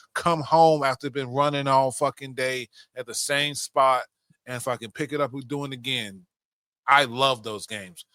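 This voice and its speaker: A man's voice: mid-range voice, fairly bright tone, no echo. A young adult man co-hosting a podcast, giving opinions in casual talk and swearing freely. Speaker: podcast co-host. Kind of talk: casual film discussion.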